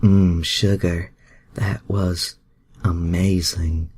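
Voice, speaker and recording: A man's voice: low-pitched, level tone, very slow, darkish tone, breathy edge, exaggerated voice. A man voicing a bold, flirty woman from superhero fan fiction, with a sultry, pushy manner. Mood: contented.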